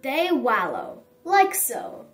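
A woman reading a children's storybook aloud in a dramatic, expressive and emphatic storytelling manner. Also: high-pitched, slow pace, distant mic, faint music behind. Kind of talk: dramatic read-aloud narration for young children.

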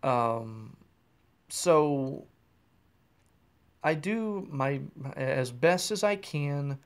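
A man's voice: a little high, lively intonation, very slow, clear, resonant tone. An American man hosting a podcast alone, chatting casually in a rambling way and often correcting himself mid-sentence, recorded while driving. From United States. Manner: rambling, restarts and self-corrections. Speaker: podcast host. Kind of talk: casual solo chat. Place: in a car, driving.

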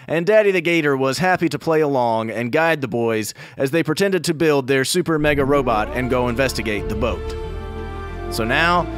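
Higher-voiced man narrating a children's story. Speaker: children's storyteller and show creator. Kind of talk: scripted story narration for kids.

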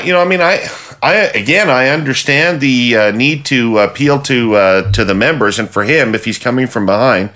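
A man's voice: mid-range voice, fairly bright tone. A man hosting a political podcast over a video call, setting up topics in a hedged, analytical way. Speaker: podcast host.

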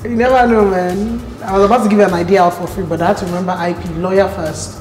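A woman's voice: mid-range voice, steady tone, fast, a little mellow. A young Nigerian woman, a guest on a cooking show, chatting in a playful, joking and emphatic way. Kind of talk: casual chat. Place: large indoor studio kitchen. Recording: roomy kitchen with music behind.

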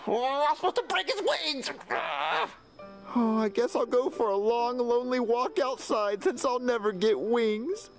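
A performer voicing a whiny, jealous, excitable little boy turtle in a comedic puppet show. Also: mid-range voice, a little slow, faint music behind, natural voice, poor audio. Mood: whiny, longing. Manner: whiny, exclamatory.

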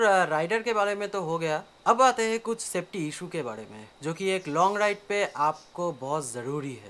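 A man's voice: high voice, a bit bright. A young man whose first language is Bengali, explaining step by step to camera as a motorcycle vlogger, with frequent hesitant fillers. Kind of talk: casual talk to camera. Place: outdoors in a yard, then indoors in a small room.

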